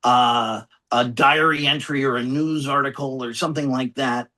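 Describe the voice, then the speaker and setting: A man speaking as a guest author in a conversational interview, reflective and halting, often circling back to repeat phrases. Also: mid-range voice, steady tone, pushed and bright.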